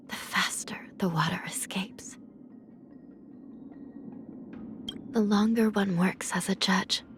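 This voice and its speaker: Game role: a gentle, world-weary female judge of the dead. Soft, breathy, formal delivery at a middle pitch, with music underneath. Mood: wistful, pensive. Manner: measured, pensive, formal. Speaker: video game voice actor. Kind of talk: scripted game character voice lines.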